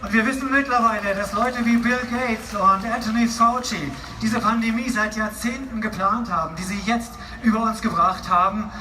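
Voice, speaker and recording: Male rally interpreter. Very high, declamatory delivery over an echoing PA, with crowd and music behind. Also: fairly even intonation, normal speed, hardly any pauses, pushed and bright, slight background noise. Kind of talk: consecutive interpreting of a political speech. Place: outdoor rally in a city, over loudspeakers. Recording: echoey distant PA, music and crowd.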